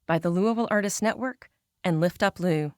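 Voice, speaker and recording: A woman's voice: lowish voice, fairly quick, a little mellow, no echo, clean studio sound. A woman hosting an audio drama podcast, reading a scripted introduction. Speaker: host and audio drama creator.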